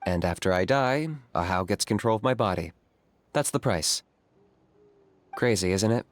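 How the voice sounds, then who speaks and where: A man playing a calm, laconic, self-assured young warrior in a video game, speaking dryly and matter-of-factly. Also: fairly low pitch, moderate pace, close to an everyday voice. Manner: calm, dry, matter-of-fact. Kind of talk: video game character acting.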